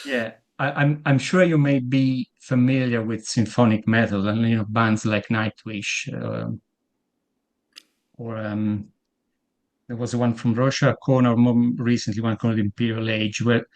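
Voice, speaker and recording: A man with a thick Italian accent, talking over a video call as an interview guest. He often stammers and repeats the first words of a sentence before finding his way, in a voice of ordinary middle pitch. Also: flat delivery, a little slow. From Italy. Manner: hesitant, repeats words when starting sentences.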